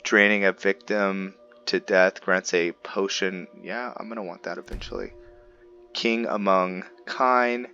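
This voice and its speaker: A man's voice: low-pitched, fairly even intonation, a little slow, pushed and bright, soft background music. A man giving casual, improvised game commentary, musing aloud to himself in a tentative way. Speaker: gaming video host. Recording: muffled, with clear background noise.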